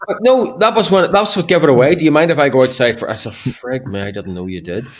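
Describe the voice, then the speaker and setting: A man's voice: mid-range voice, fast. A middle-aged man, co-hosting a podcast and telling blunt, jokey stories in casual banter. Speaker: podcast co-host.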